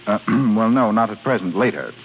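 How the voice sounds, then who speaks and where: Suave, teasing suitor, lively swooping delivery. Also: male voice, on the low side, brisk. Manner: smooth, playful, romantic. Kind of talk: 1930s radio drama acting. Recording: muffled, hissy 1930s radio broadcast.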